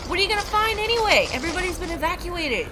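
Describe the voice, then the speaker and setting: A woman's voice: extremely high pitch, lively intonation, racing. A woman playing an impatient, sarcastic city evacuee in a sci-fi audio drama. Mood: exasperated. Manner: exasperated, sarcastic. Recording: clear ambient background noise.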